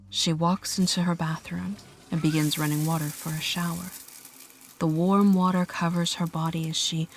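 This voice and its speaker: Slow, low-pitched woman narrator. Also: no room sound. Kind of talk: scripted dramatic story narration.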